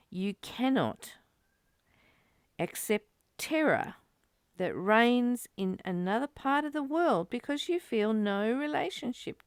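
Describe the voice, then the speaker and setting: Slow-paced woman, gravelly edge, spiritual reading. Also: on the high side, no echo, clean studio sound. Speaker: study group teacher reading and commenting.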